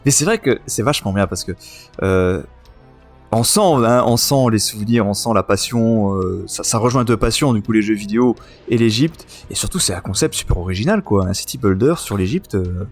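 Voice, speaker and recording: A man's voice: lowish voice, lively intonation, normal speed. A man chatting casually and enthusiastically as host of a retro gaming podcast. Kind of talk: casual podcast chat about retro video games. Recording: music playing behind the voice.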